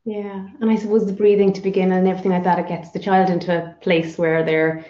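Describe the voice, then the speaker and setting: A woman's voice: on the low side, flat delivery, fast talker, mellow, reverberant, lo-fi sound. A woman hosting a casual interview, chatty, paraphrasing her guest and checking she has understood. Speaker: interview host.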